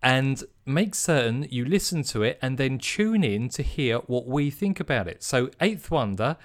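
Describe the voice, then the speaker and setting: A man's voice: mid-range voice, lively intonation, measured pace. Upbeat man hosting a podcast. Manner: upbeat, chatty, playful. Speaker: podcast co-host. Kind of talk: casual podcast chat. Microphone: remote call mic.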